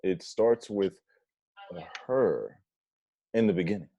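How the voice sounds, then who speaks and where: A man's voice: low-pitched, expressive, slow pace, warm tone, lo-fi sound, exaggerated voice. A man playing a dramatic young gossip confiding to camera in a comedic confessional script. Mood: gossipy.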